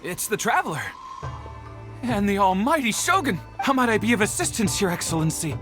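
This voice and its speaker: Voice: medium pitch, very animated, a little fast, lightly acted. An actor playing a nervous, obsequious servant in a video game, deferential and effusive, with music behind. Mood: startled respect. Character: flustered, obsequious servant eager to please a ruler. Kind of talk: scripted video game dialogue.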